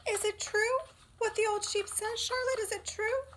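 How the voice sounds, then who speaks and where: Wilbur, a woman voicing a shrill panicky young pig. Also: sing-song, unhurried, pushed and bright, slight background noise, heavily acted. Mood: terrified. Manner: high shrill wailing. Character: young male pig, frightened and pleading.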